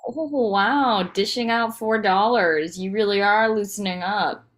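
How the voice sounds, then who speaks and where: A woman's voice: medium pitch, unhurried, lightly acted. A woman playing a wry, teasing wife and mother in a table read of a TV pilot script.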